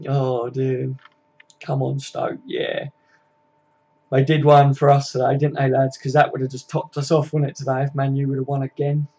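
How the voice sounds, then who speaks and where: A man's voice: mid-range voice, monotone, unhurried, pauses often, mellow, husky, lo-fi sound. A man, hosting a casual live stream, chatting matily with viewers and laughing easily. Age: 20s.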